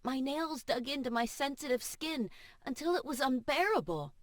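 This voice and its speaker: A woman audiobook narrator reading first-person fiction in an expressive, emotive and deliberate way. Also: sits high, no room sound, studio quality. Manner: emotive, measured, deliberate delivery. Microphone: studio voice-over microphone.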